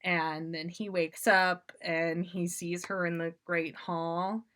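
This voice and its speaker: A woman's voice: on the low side, slow, smooth. A woman hosting a livestream, reading a story aloud in an amused, casual yet theatrical way. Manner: amused, expressive delivery. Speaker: podcast host.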